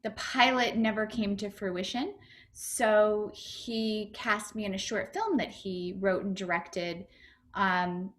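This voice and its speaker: A woman's voice: medium pitch, fairly even intonation, slow pace, a bit bright. A woman comedian and actor answering interview questions over a video call, chatty and upbeat, with lots of fillers and restarts.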